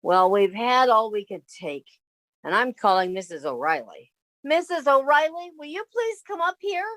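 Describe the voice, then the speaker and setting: Sensible, tired young woman roommate played by an actress, caring yet exasperated, 1950s radio comedy, fairly high and dry. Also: sing-song, moderate pace, fairly bright tone, no room sound. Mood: firm. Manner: motherly, exasperated, wry.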